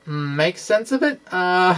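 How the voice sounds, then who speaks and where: A man presenting an informal science lecture in a halting, self-deprecating way, as if thinking aloud. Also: high-pitched, fairly bright tone. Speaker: presenter of a physics video blog.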